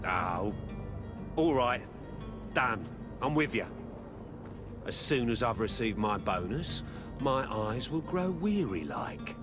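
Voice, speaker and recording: An actor playing an evasive, stubborn merchant's apprentice in a video game, deferential and full of whiny excuses. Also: male voice, medium pitch, sing-song, slow pace, long pauses. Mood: cagey, bargaining. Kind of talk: scripted video game dialogue. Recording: music behind the voice.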